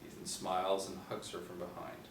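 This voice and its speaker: Low-voiced male script narrator in roomy space. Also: completely flat delivery, brisk. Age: 40s. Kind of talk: scripted narration of screen directions. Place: small indoor room, seated group. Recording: roomy, distant mic with faint noise.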